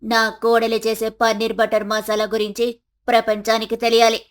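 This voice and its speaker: A gossipy village neighbour voiced by a woman with a high pitch, from an animated tale. She chats in a flattering, complaining way. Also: moderate pace, lightly acted. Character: gossipy village neighbour women airing household grievances. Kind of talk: animated moral story acting.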